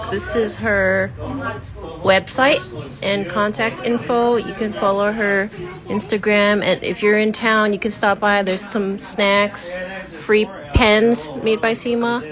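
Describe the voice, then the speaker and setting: A woman interviewer with a mid-range voice, encouraging and soft-spoken. She films on a phone in a bookstore gallery, with clear chatter from people behind her. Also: medium pitch, steady tone, a little slow, low-quality recording. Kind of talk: casual live-stream interview. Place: bookstore art gallery, indoors.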